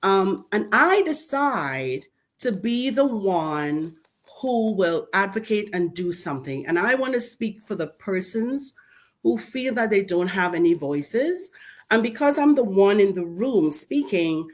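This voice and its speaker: A woman's voice: mid-range voice, unhurried. A middle-aged woman from Trinidad and Tobago with a Trinidadian accent, speaking as a guest in a community panel discussion and telling her story emphatically. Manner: lively storytelling, emphatic and animated. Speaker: school board member and panel guest.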